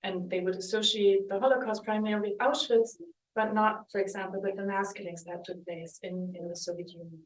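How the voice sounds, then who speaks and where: A woman's voice: medium pitch, steady tone, a little fast. Thoughtful woman lecturing in a roomy hall. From West Germany. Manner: thoughtful, hesitant, with restarts and ums. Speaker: historian giving a book talk. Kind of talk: academic lecture with slides. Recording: roomy, mic at a distance.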